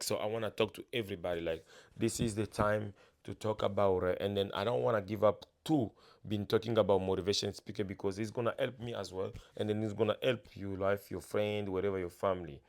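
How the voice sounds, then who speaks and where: Earnest motivational speaker, male, non-native English, lowish voice, halting delivery. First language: not English. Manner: earnest, halting, many restarts and fillers. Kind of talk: casual motivational monologue.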